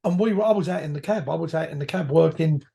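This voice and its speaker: A man, hoarse from a cold, a guest reminiscing about his years as a firefighter in rambling, self-correcting talk. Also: a little high, fast, warm tone. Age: 50s. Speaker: podcast guest, retired firefighter. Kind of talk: reflective conversational storytelling.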